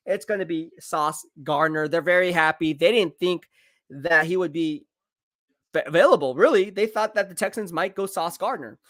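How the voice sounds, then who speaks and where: A man live-streaming football draft commentary, excitable and hyping each pick, his voice going hoarse. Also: a little high, moderate pace, no echo, studio quality. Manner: excitable, hypes picks, reads chat aloud. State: losing his voice.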